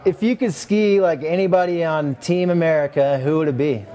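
A man's voice: fairly high pitch, moderate pace, dark tone. A young man from Canada, a pro skier, chatting casually and easily in a lodge room. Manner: easygoing, laid-back, laughing often. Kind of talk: casual chat. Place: lodge room with trophies, indoors.

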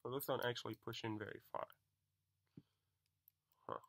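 A young man talks through a toy unboxing with eager, chatty energy and a bright, forward tone. He is coming down with a cold. It sounds like a camera mic in a small room at home. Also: mid-range voice, moderate pace, gravelly. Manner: excited, chatty, self-deprecating. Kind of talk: casual unboxing commentary.

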